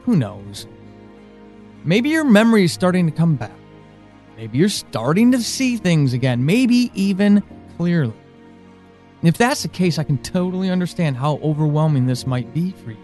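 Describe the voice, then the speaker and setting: A man playing an anxious, pleading character in an audio drama monologue, speaking earnestly and haltingly. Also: a little high, very animated, a little mellow, faint music behind. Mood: uneasy, searching. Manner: halting, earnest, with pauses and emphatic swings. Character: anxious, pleading man reaching out to a lost loved one.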